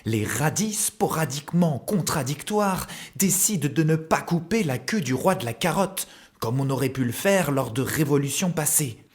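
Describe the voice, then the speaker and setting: A man's voice: a little high, animated, normal speed. A man narrating a children's cartoon story in a mock-epic, theatrical manner.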